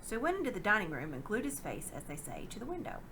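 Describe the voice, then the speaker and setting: A woman reading a children's novel aloud in a dramatic, expressive narrating style. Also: mid-range voice, fast talker, gravelly, background noise. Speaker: storybook reader.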